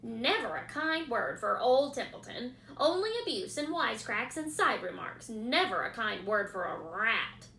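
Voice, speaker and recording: A woman voicing a lazy, grumpy male rat who talks in a gruff, sarcastic, mocking way, in an echoey room. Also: fairly high pitch, very animated, a little slow, few pauses, pushed and bright, slight background noise, full character voice.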